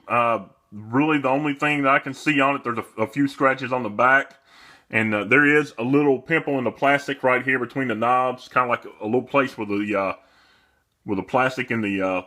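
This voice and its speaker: Man, bright forward voice, mid pitch, casual product demo, plain-spoken, hesitant delivery. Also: a little fast. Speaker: presenter demonstrating a radio for sale.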